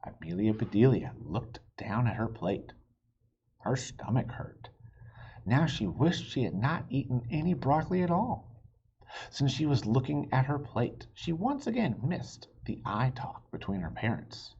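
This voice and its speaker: A man reading a children's story aloud in an expressive, theatrical way, with chatty asides to young listeners. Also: medium pitch, measured pace, dull audio, slight background noise. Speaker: children's book reader.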